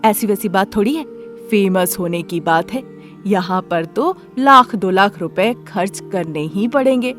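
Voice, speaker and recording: A woman's voice: medium pitch, unhurried, fairly bright tone, faint music behind, natural voice. A woman voicing a shrewd young female friend, explaining things in a matter-of-fact, persuasive way. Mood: knowing, persuasive. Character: shrewd young female friend giving money-making advice.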